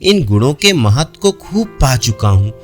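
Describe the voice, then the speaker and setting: A man's voice: mid-range voice, big pitch swings, a little slow, soft background music. A man voicing a wealthy, generous older landlord in a storybook, speaking persuasively.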